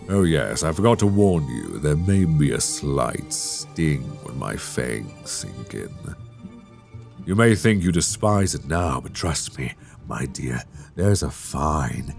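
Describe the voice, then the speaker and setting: A man playing a sadistic, seductive vampire master in an intimate audio roleplay, silky, commanding and mock-tender, close on a binaural microphone. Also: full character voice. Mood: gloating, seductive. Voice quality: gravelly, very deep.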